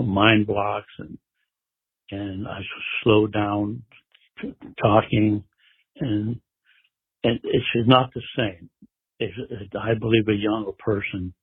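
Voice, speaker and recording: A man in his eighties leaving a recorded phone message, speaking haltingly and stumbling as he searches for words. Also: fairly low pitch, husky. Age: 80s. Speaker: caller. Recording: tinny phone line.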